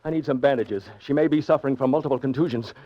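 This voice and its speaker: A man's voice: mid-range voice, fast talker, natural voice. An actor playing an old doctor with a lost memory regaining his authority, in a 1940s radio drama. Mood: urgent, commanding. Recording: dull vintage 1940s radio recording.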